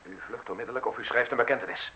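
Male actor for cornered justice official, formal and menacing out of fear, bright tense voice, radio drama delivery. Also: mid-range voice, very fast, slight background noise, lightly acted, low-quality recording. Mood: desperate, threatening. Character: anxious, cornered justice official turning threatening. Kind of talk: Dutch radio play acting.

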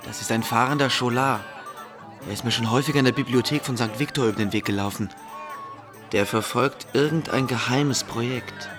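An actor playing a knowing medieval student who briskly confides gossip to a friend in a radio play. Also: male voice, mid-range voice, lightly acted. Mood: confiding. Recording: busy crowd and music behind.

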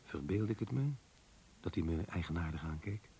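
Low, husky, brooding man. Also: animated, normal speed, mellow, exaggerated voice. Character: brooding, guarded man recounting his diary. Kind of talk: radio drama narration and dialogue.

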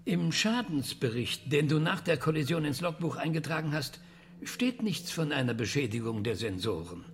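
An actor playing a patient professor in his sixties who questions carefully and deliberately in a radio drama. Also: on the high side, expressive, a little hiss or hum. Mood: probing, careful. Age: 60s. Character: 68-year-old male professor, patient, careful, probing questioner.

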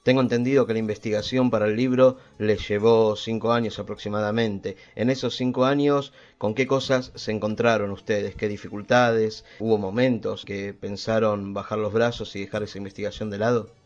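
Slightly deep Argentine male interviewer. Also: fairly even intonation, moderate pace, few pauses, a little mellow, lo-fi sound. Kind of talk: prepared radio interview questions.

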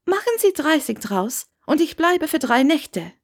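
A woman's voice: high voice, sing-song, measured pace, voice pushed up. A woman reads the part of a young witch in a storybook tale. She is curious and determined, polite yet assertive, with a voice lifted well above ordinary narration. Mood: firm.

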